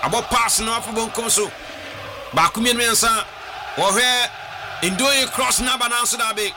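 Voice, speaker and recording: A man with a Ghanaian accent doing live radio football commentary, shouting excitedly and mixing Twi and English. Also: extremely high pitch, moderate pace. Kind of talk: live radio play-by-play with read-in ads. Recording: noisy broadcast with crowd behind.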